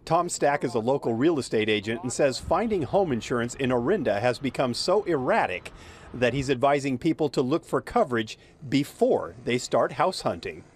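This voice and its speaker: An older man reporting a local news story in a measured narration. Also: mid-range voice, a little hiss or hum. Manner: measured, lively reporting delivery. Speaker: field reporter.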